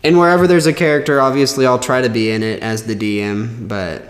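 A man's voice: mid-range voice, steady tone, fast. Man thinking aloud in a cavernous echo. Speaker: podcast co-host. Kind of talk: casual chat. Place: echo-heavy indoor room. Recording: cavernous echo, long reverb.

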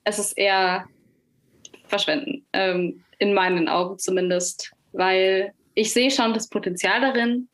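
A woman's voice: medium pitch, steady tone, unhurried, fairly bright tone, rough recording. Chatty young woman with a Franconian German accent. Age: young adult. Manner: chatty, frequent fillers, laughs easily. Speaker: musician guest. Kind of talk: casual interview chat.

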